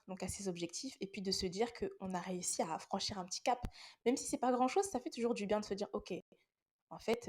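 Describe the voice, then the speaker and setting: A young woman hosts a faith podcast while she has a head cold. She speaks straight to her listeners in an earnest, encouraging tone, and her voice sits at an ordinary middle pitch. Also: a little mellow. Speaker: podcast host. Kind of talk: casual faith talk podcast.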